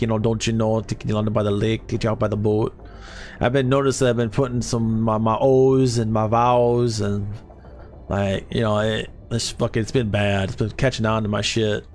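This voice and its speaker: Southern-ish guy from arkansas, 30s, swears a lot, chill stream banter at his desk. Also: lowish voice. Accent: light Southern US. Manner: loose, profane, laid-back banter. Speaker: Twitch streamer. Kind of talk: casual live-stream chat with chat. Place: home gaming room.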